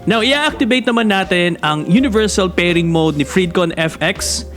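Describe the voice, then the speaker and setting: A man's voice: high-pitched, animated, normal speed, fairly bright tone, faint music behind. A man with a Filipino accent talking casually as a motorcycle vlogger, in a tutorial style. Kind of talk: casual bilingual tutorial talk.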